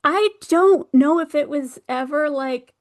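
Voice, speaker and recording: A woman with a fairly high voice talks casually as a guest in an interview. She is conversational and animated, slipping into playful little quoted asides as she tells stories. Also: measured pace. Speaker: guest author, ghostwriter and audio drama producer. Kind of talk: casual interview chat about writing.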